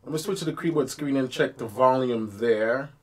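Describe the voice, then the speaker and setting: A man teaching piano on a live stream, chatting casually with viewers and working through his setup out loud. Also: mid-range voice, moderate pace. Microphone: streaming mic.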